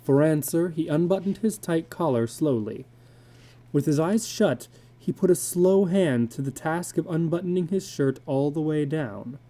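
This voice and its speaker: Male narrator, very mellow dark tone, slow pace, measured phrasing, dramatic literary reading. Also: on the high side, lively intonation.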